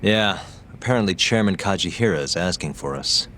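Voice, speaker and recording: An actor playing a world-weary, guarded private investigator with a dry manner in a video game. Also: male voice, deep voice, expressive, fairly quick, fairly bright tone, slight background noise. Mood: calm, sober. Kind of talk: video game cutscene dialogue. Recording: music behind the voice.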